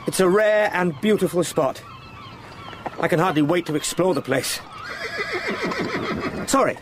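An actor playing an eager, polite young English solicitor in a radio drama, speaking formally with small hesitant asides. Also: male voice, high voice. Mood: awed, eager. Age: young adult. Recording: noisy outdoor sound-effect background.

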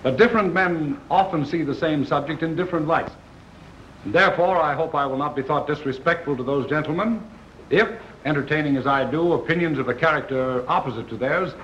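A man's voice: a little high, fairly bright tone, slight background noise, natural voice. An actor playing a solemn, impassioned colonial statesman in a formal, declamatory 1930s film style. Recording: dull 1930s film soundtrack, roomy.

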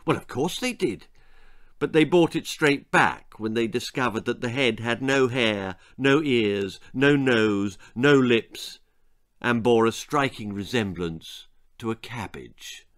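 A man in his sixties reads a children's tale aloud as a storyteller. His delivery is slow and theatrical, with a lively, swooping pitch. He is deliberate and relishes every grisly word. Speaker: author reading his own story. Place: small indoor room lined with bookshelves.

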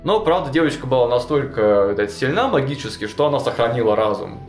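Young man, storyteller, lively talk, roomy studio, faint background music. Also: medium pitch, fast talker. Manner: animated, many fillers like "вот" and "ну". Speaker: storyteller guest. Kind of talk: casual storytelling chat. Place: studio with chalkboard wall.

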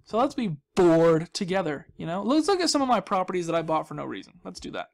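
A man's voice: sits high, big pitch swings, fast talker, gravelly. A young man giving casual, rambling commentary as he hosts a gaming video, with a goofy, self-deprecating, mock-dramatic manner.

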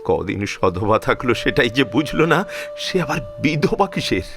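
Guy acting older bengali father in law in a radio play, emotional, pitch all over the place, mid register, protective. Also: very animated, moderate pace, music in the background. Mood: indignant. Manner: emphatic, emotional, repeats phrases. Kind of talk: Bengali audio drama acting.

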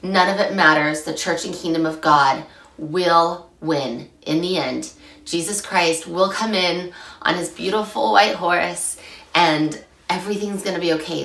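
A young woman with a slightly hoarse voice from a recent illness, teaching a chatty, animated scripture lesson to camera from a small room at home. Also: sits low. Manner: chatty and animated, gesturing a lot. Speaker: scripture study host. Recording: echoey room, mic at a distance.

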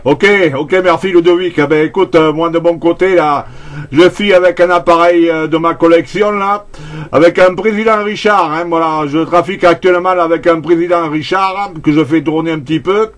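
A man's voice: on the high side, fairly even intonation, normal speed. A man talking casually as a CB radio operator, peppering his phrases with little tag words, over a radio link. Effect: CB radio transmission. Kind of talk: casual radio contact chat.

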